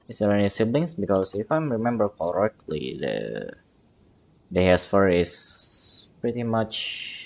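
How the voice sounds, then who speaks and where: A man who is not a native English speaker, giving casual live reactions as a game streamer, thinking aloud in halting, broken phrases. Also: fairly low pitch.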